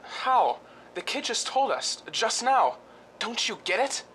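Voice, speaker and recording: An actor playing a restless, scheming husband of a film star in a radio drama, hesitant and conspiratorial. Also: male voice, extremely high pitch, very animated, slow, sharp tone, slight background noise, heavily acted, low-quality recording. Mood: excited, scheming.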